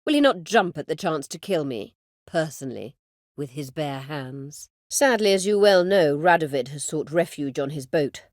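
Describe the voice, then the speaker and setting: A proud, vengeful sorceress from a fantasy video game. Her voice sits fairly low and swings widely in pitch, recorded close and dry. She speaks in haughty, formal phrases edged with sardonic bite. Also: animated, darkish tone, no room sound. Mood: grand, emphatic. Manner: haughty, formal, measured, biting sarcasm. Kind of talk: video game character dialogue.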